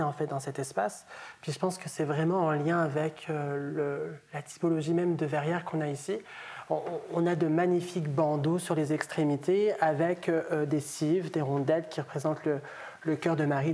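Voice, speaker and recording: A man's voice: fairly high pitch, darkish tone. A young man, an art historian, describing stained glass in an enthusiastic, conversational way with fillers, in a small chapel. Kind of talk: conversational expert commentary. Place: small wood-paneled chapel, indoors.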